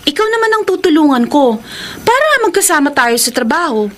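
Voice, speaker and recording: High-voiced cheerful young Filipino woman. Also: very animated, brisk, a bit bright, slight background noise. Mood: chatty. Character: cheerful single young provincial woman, playful and eager. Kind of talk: Filipino radio drama acting and letter narration. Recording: radio drama with music underneath.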